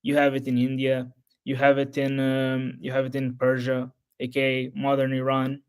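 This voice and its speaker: A man whose first language is Portuguese, talking unscripted to his channel's viewers in a halting, rambling way full of restarts. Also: mid-range voice, monotone, smooth. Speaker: history YouTuber. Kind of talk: unscripted solo talk to camera.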